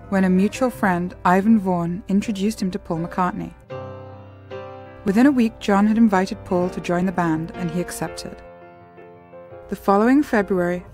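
Woman narrator, documentary read, mid pitch, faint music under. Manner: measured, even storytelling.

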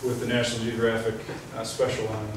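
A young man hosting a student club meeting, introducing a guest speaker in a halting, filler-heavy way in a lecture hall. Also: on the low side, monotone. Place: large lecture hall, indoors. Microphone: far camera, no mic seen. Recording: echoey hall, distant mic, background noise.

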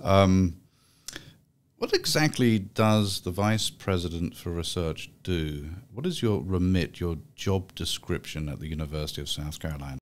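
A middle-aged man hosting an interview podcast in a small office room, speaking in a measured, formal way into a studio mic. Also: low-pitched, animated. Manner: measured, formal delivery with fillers in chat. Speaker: podcast host. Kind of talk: scripted intro then interview. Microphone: studio mic with pop filter.

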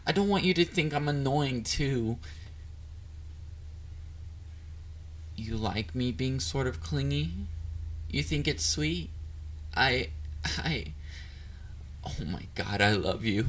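A man playing a shy, clingy, excitable young catboy boyfriend in an intimate romantic audio roleplay, hesitant and easily flustered. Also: medium pitch, slow, pauses often, slight background noise. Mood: tender, overwhelmed. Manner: hesitant, vulnerable, flustered, with stammers.

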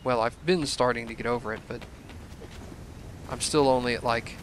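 A young man commenting casually on a video game he is playing, his voice a little hoarse from a cold, rambling with self-deprecating asides. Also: medium pitch, fairly even intonation, moderate pace, slight background noise. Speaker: let's play gamer.

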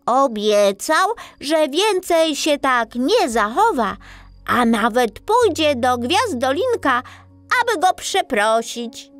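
Sweet little star played by a woman, storybook teller, slow pace, very animated. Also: high voice, no echo, full character voice. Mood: storytelling. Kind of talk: children's audiobook storytelling. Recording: music behind the voice.

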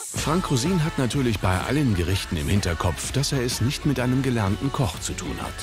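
A man's voice: medium pitch, big pitch swings, brisk. A man reading scripted, slightly wry voice-over narration for a television show. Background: loud music with guitar.

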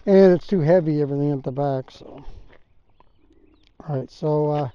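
A middle-aged man giving relaxed, rambling commentary while riding an e-bike on rural back roads, recorded by an action camera on the bike. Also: fairly high pitch, moderate pace, clear, resonant tone. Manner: relaxed, rambling, thinks aloud with fillers. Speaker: e-bike vlogger. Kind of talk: casual ride commentary. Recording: muffled outdoor camera audio with faint wind noise.

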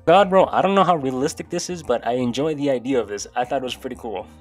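A man's voice: mid-range voice, fast. A young man talks casually into a close desk mic in a small room. He is irreverent and swears freely, with music playing faintly behind him. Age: young adult. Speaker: YouTube video host. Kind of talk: casual video commentary. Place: small bedroom, indoors. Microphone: close desk microphone.

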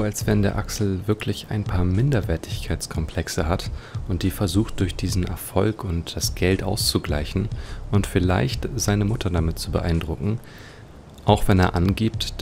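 A man's voice: deep voice, normal speed, creaky, a little mellow, a little hiss or hum. A man hosting a let's play with casual, musing commentary and wry understatement. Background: game music.

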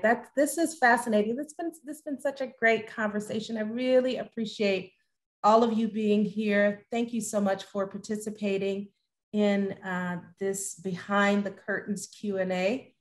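Middle-aged woman host, medium pitch. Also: echoey room. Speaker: host, moderator. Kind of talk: conversational Q&A hosting. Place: bright living room at home, video call.

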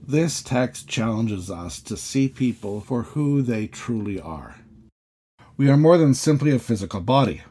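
A man, a priest, giving a measured sermon full of questions, each sentence opening higher and settling low. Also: darkish tone, slightly rough. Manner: measured, questioning preaching with rising openings and falling endings.

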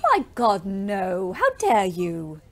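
A woman's voice: mid-range voice, wildly swooping pitch, slow pace, exaggerated voice. An actress playing an earnest, outspoken young woman ambulance driver in a war audio play, intense and blunt. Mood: defiant, bitter. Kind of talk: audio drama acting.

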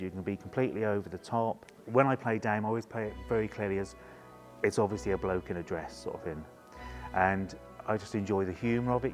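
A man in his forties chatting casually in an interview as a pantomime actor. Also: fairly low pitch. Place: indoor theatre bar room. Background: faint music.

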